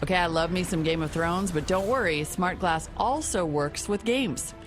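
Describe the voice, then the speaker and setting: A woman's voice: lowish voice, moderate pace. A woman host narrating a scripted report in a wry, opinionated way. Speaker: show host. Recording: music bed underneath.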